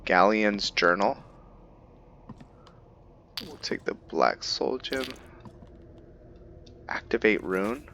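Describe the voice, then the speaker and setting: A man hosting a gaming video, musing aloud in a relaxed way as he plays and comments on what he finds. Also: lowish voice, very slow, long pauses, slight background noise. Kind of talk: casual game commentary.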